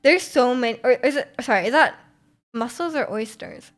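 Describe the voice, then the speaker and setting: A young woman streaming live and chatting casually with her viewers. Her voice sits a little high, and she rambles, restarting sentences and filling gaps with likes and ums. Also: moderate pace. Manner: rambling, many fillers and restarts, frequent laughter. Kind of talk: casual chat reading viewer comments.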